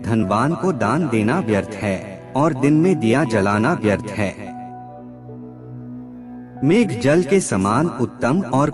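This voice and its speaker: Slow, expressive male narrator over music. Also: medium pitch. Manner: measured, deliberate recitation. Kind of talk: scripted narration of aphorisms.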